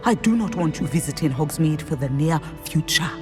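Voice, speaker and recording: A woman playing a protective, disapproving middle-aged mother in a game, firm and measured. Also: low-pitched, expressive, in a large hall, over music. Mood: worried, reproving. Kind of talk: game cutscene dialogue.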